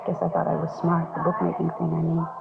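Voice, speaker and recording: Anxious, fast-talking American woman, old radio. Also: low-pitched, completely flat delivery, creaky. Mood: uneasy, confessing. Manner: anxious, confessional, pleading. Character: worried beauty shop owner paying off an extortionist. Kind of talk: 1950s radio drama acting. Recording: scratchy, phone-like 1950s radio recording, noisy.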